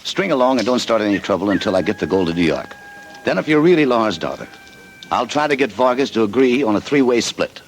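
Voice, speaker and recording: A hard-boiled adventurer narrator. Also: male voice, on the low side, sing-song, fast, a little hiss or hum, poor audio. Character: tough adventurer narrating his own story. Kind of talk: 1940s radio acting and narration. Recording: old radio broadcast with music bed.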